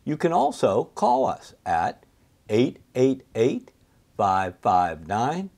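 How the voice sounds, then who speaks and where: Middle-aged man presenting with lively intonation. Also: medium pitch, takes it slowly. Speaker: company presenter. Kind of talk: scripted product presentation to camera. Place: indoor studio with plain backdrop.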